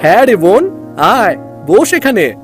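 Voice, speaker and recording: Woman as lively, protective village boy. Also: medium pitch. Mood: caring. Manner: brisk, reassuring, mildly bossy. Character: village boy, protective, reassuring elder brother. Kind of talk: Bengali cartoon story voice acting. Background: music and rain.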